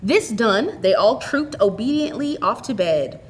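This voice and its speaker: A woman reading a short story aloud as an expressive, scripted narrator. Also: on the high side, unhurried, slight background noise. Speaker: story reader.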